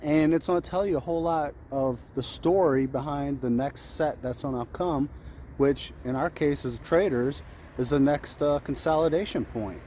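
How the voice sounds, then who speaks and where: Higher-voiced man talking over outdoor noise. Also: normal speed, warm tone. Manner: acts out traders' thoughts, repeats phrases for emphasis. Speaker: day trader giving trading tips. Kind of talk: informal off-the-cuff motivational trading talk. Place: outdoors in the heat.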